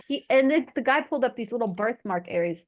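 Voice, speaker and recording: A woman's voice: a little high, animated, fast talker. A woman with a blended Arkansas Southern and New Jersey accent, chatting casually and telling stories in folksy language as host of a group video call. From Arkansas, United States. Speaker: host of an online group chat.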